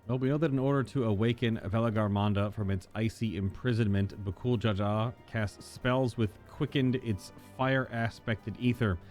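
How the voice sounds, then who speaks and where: A man reading game dialogue as a learned, methodical tracker, in a measured, formal, explanatory way. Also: lowish voice, fairly even intonation, darkish tone, soft background music, lightly acted. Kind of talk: game dialogue read aloud.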